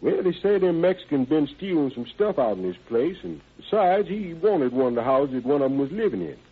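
A man's voice: fairly high pitch, sing-song, brisk, slightly rough, phone-quality sound. An actor playing an evasive young ex-convict odd-job man in an old radio crime drama, speaking in a hesitant, rambling, folksy and ungrammatical way. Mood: nervous, explaining. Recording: band-limited vintage radio recording.

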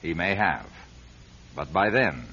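A man narrating a radio drama in a grave, deliberate storytelling manner. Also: sits low, lively intonation, sharp tone, slight background noise. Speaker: narrator and writer-director. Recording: dull lo-fi radio recording.

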